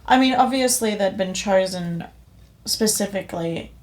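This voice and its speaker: A woman's voice: mid-range voice, moderate pace. A young woman from Indonesia co-hosting a podcast, chatting enthusiastically and a bit rambly about a show she saw. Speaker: podcast co-host. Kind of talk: casual review chat.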